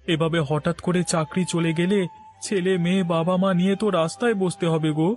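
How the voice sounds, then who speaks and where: A man's voice: high voice, fairly even intonation, a little fast, soft background music, natural voice. A man voicing a humble married neighbour in a moral-story cartoon, with a deferential, pleading manner. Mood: pleading, aggrieved.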